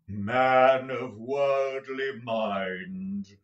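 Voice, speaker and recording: A doomed, chain-bound ghost, as a man puts him on, speaks in slow, drawn-out, grave tones. Every word lands heavy and mournful. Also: medium pitch, dull audio. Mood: solemn.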